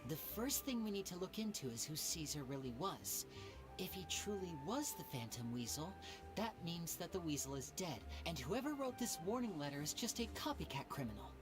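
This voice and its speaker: An actor voicing a charming young male stage magician in a video game, smooth, teasing and confident. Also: mid-range voice, big pitch swings, moderate pace, music in the background, close to an everyday voice. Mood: playful. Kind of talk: game voice acting.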